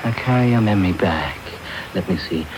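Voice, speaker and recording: An actor playing a sinister, secretive villain, commanding, contemptuous and deliberate, in a radio drama. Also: male voice, low-pitched, lively intonation. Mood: brusque, probing. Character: sinister, secretive villainous man, brusque and menacing. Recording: noisy off-air medium wave radio tape.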